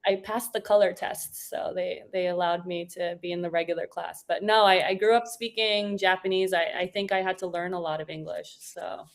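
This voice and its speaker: Woman, forties, slightly low voice, chatty, laughs often, fillers and restarts. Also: steady tone, normal speed, few pauses. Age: 40s. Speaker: guest. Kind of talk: casual chat.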